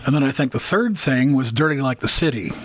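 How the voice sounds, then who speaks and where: A man hosting an interview in an even, middle-pitched voice. He keeps his questions short and steady and fills the gaps with brief acknowledgements. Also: brisk, a little hiss or hum. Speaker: interview host.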